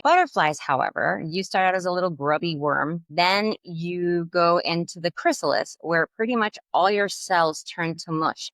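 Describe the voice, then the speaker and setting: A woman from Puerto Rico, a guest attorney, talks in a lowish voice. She explains her points with energy and colorful images. The recording is dry and close. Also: no echo. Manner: animated, explains with vivid metaphors. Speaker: guest, family law attorney. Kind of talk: conversational interview.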